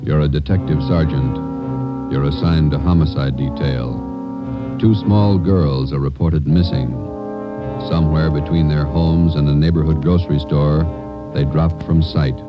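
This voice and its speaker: Extremely deep, solemn male radio announcer. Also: fairly even intonation, a little slow, loud music behind the voice. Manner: terse, solemn. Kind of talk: solemn 1940s scripted radio narration. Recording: muffled old radio recording.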